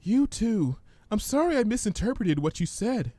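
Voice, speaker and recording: A shy, fearful young female cartoon pony acted out by a man. The voice is lifted high and falters with meek stammers. It sounds apologetic and hesitant, recorded dry. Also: big pitch swings, normal speed, dark tone, no room sound. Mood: sheepish, apologetic.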